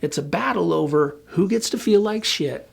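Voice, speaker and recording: A presenter giving an informal explainer talk in a colloquial, emphatic way, with a gravelly, husky voice. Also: medium pitch, expressive, moderate pace. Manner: colloquial, emphatic, occasionally swearing. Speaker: presenter explaining relationship conflict.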